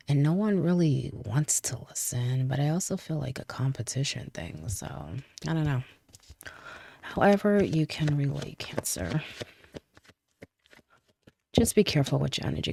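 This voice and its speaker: A young woman with a very low, gravelly voice and a mellow, dark tone reads tarot in a loose, chatty way. She sounds a little stuffy from a cold. The recording is dry and close. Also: no room sound. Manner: chatty, laughs often, repeats "I feel like". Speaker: tarot reader. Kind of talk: casual tarot reading to camera.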